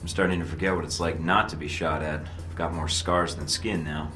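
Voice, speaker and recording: A man's voice: a bass voice, level tone, a little fast, bright, forceful tone. An American man playing a tired young secret agent in a video game, talking in a dry, wry, understated way. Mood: weary, dry. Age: young adult. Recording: roomy sound with music underneath.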